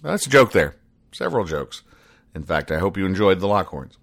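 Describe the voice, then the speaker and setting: A man with a deep, low-pitched voice hosts a comedy commentary show. He speaks in a deadpan, pedantic manner, haltingly spelling out every point in needless detail. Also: vocal fry. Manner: deadpan, pedantic, over-explaining. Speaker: podcast host.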